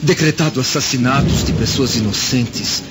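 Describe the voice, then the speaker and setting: A man's voice: mid-range voice, moderate pace, close to an everyday voice. An actor playing a troubled, proud Roman senator and anguished father in a radio drama, with a Brazilian accent and a grave, brooding manner. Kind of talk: Portuguese-language radio drama acting. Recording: clearly noisy, rain-like ambience behind.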